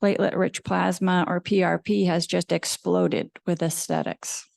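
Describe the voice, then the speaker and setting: A woman in her fifties giving a lecture as a dermatologist, measured and explanatory with frequent ums. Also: fairly low pitch, little pitch movement, vocal fry, darkish tone, no room sound. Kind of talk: lecture presentation. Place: lecture hall with live audience.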